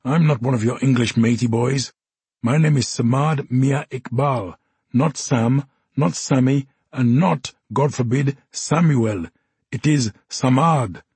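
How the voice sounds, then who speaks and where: A man's voice: mid-range voice, slow pace, darkish tone, husky, no echo, voice pushed up, full character voice. A man voicing an embittered, drunk one-handed soldier who talks in a formal, officious way and growls. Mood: growling. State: drunk and unsteady.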